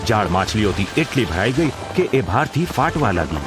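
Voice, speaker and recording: A man's voice: on the low side, sing-song, normal speed. A man narrating a scripted audio drama in a formal, literary storytelling manner. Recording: music under the voice.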